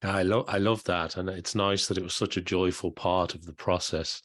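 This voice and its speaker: A man's voice: sits low, fairly even intonation, normal speed, fairly bright tone, no room sound, studio quality. A man hosting an interview podcast, speaking in a friendly, explanatory way with some hesitant restarts. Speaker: podcast host. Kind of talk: casual interview chat.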